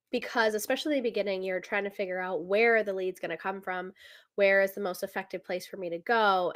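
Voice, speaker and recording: A woman talks through her business plan alone on her podcast, casual and chatty, working out sums aloud. Her voice is a little congested and rough from a cold. She sits at a middling pitch for a woman. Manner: chatty, many fillers, thinks aloud with math. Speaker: podcast host. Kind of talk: casual solo business talk.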